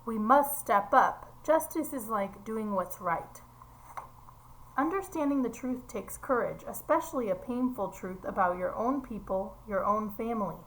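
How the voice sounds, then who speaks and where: A woman's voice: mid-range voice, animated, normal speed, slight background noise, low-quality recording. A woman reading a picture book aloud to young children, explaining as she goes and putting simple questions to her listeners.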